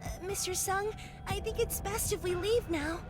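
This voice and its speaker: A woman's voice: very high-pitched, normal speed. An actress playing a timid, sweet young girl in a video game, speaking in a hesitant, meek way. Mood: nervous, hesitant. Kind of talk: scripted dramatic game acting. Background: music.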